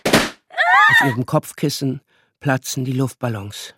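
A woman's voice: a bass voice, huge pitch swings, slow, background noise, heavily acted. A woman narrating a literary radio play from a script, telling the story as a storyteller. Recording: loud music behind the narration.

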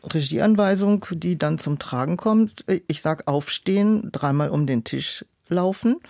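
A woman's voice: low-pitched, a little slow, vocal fry, a little mellow, no room sound, studio quality. A woman speaking in a reflective interview as an audiobook director, explaining things and illustrating them with quoted asides. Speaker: audiobook director and producer, podcast guest.

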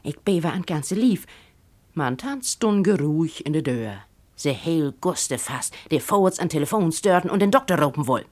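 A woman with a Northern German Low German accent telling a first-person literary story expressively. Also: animated, normal speed.

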